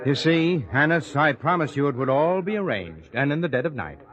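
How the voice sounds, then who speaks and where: Self-important male high priest: higher-pitched, animated, pompous, muffled radio drama sound. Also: fairly quick. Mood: smug, confiding. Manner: pompous, commanding. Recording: dull lo-fi radio recording.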